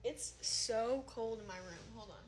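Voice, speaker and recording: A woman's voice: a little high, a little slow, noisy background. A woman in her twenties, chatting casually on a phone livestream from her room, rambling and swearing freely. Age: 20s. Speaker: social media creator and DJ. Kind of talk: casual livestream chat to viewers. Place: her room at home, indoors.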